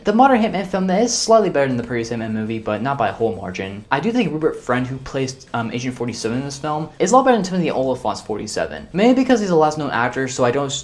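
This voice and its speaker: A man giving casual, opinionated movie commentary as a video host, in an informal, conversational and emphatic way. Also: medium pitch.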